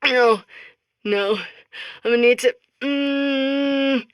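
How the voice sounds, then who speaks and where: A woman's voice: high-pitched, dry recording. A woman playing an exhausted, unfit messenger in a comedic game, badly out of breath, wheezing and gasping out flustered fragments. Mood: breathless, urgent. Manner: halting, flustered, gasped fragments. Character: exhausted, unfit female messenger gasping to tell big news. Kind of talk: comedic video game character monologue.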